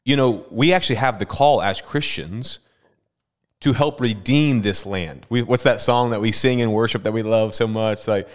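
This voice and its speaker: A man hosting a church podcast as a pastor, chatty and quick, often stumbling and restarting mid-thought. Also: fairly low pitch.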